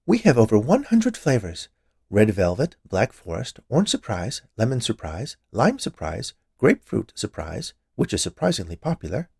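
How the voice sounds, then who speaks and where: A man's voice: lowish voice, huge pitch swings, normal speed, vocal fry, a little mellow, slightly rough, no echo, clean studio sound, exaggerated voice. A man voicing a plump, cheerful woman confectioner in an audiobook, chatty, gushing and nosy. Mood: chirpy, curious. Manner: chirpy, gushing, inquisitive. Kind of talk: audiobook character voice.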